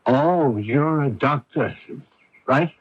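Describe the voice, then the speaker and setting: A man's voice: medium pitch, takes it slowly, a little hiss or hum, natural voice. An actor playing a terse, impatient father in a radio drama, speaking in clipped replies. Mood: curt, impatient. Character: curt, impatient, worried father of a sick daughter. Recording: dull lo-fi vintage radio broadcast.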